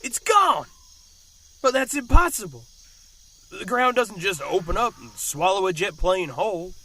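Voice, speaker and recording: An actor playing a hot-headed, sarcastic superhero who grumbles defiantly in an audio drama. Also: male voice, sits high, expressive, unhurried, bright, forceful tone, exaggerated voice. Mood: alarmed, fierce.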